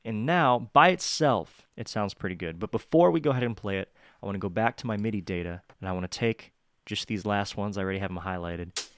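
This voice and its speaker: A man's voice: on the low side, fairly quick, a bit bright. A man hosting a music production tutorial, talking casually and directly to viewers in an upbeat, chatty way.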